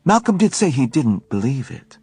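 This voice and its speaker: A man voicing a sharp, suspicious young woman who speaks in a cool, probing way. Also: mid-range voice, big pitch swings, moderate pace, breathy edge, no room sound, voice pushed up.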